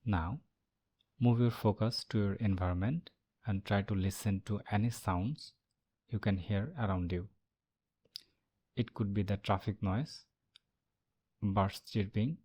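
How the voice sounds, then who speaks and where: Calm guy doing guided meditation, lowish voice, really mellow, leaves long pauses. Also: slightly rough. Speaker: meditation instructor.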